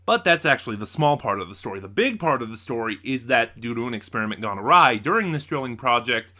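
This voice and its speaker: A man's voice: mid-range voice, very animated, fast, bright, forceful tone. A man hosting a casual, unscripted review, talking in a rambling way with many asides and self-corrections. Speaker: host of a review channel.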